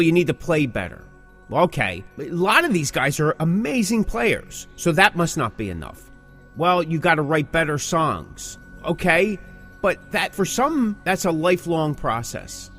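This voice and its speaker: A man's voice: on the high side, fairly bright tone. A man co-hosting a podcast in casual discussion, speaking emphatically and posing rhetorical questions. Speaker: podcast co-host. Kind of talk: casual music-industry discussion.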